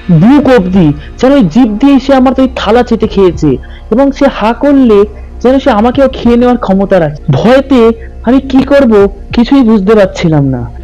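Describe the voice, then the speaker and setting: A man's voice: extremely high pitch, animated, moderate pace, mellow, lo-fi sound. A man narrating in a dramatic, suspenseful ghost-story style as a channel host. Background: background music.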